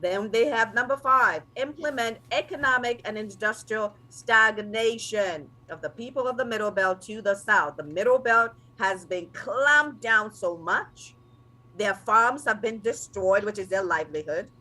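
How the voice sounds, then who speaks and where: A woman hosting a talk show, measured and steady as she works through points. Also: medium pitch, low-quality recording. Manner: steady, measured, leads the agenda. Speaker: host.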